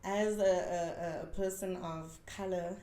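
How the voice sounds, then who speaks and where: A woman's voice: fairly low pitch, a little slow, reverberant. A South African woman in her twenties, a dancer talking candidly and animatedly as an interview guest. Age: 20s. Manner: candid, animated storytelling with frequent "like" and "man". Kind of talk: casual interview chat.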